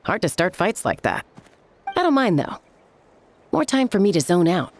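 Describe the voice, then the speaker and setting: A woman voicing a laid-back female warrior who talks in a relaxed, easygoing, dry way. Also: fairly low pitch, moderate pace, a little hiss or hum. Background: game music.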